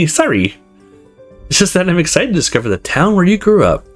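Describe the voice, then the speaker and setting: Upbeat young female game character read by a streamer, eager, swinging pitch, faint music. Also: big pitch swings, moderate pace. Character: excited, upbeat young woman traveler.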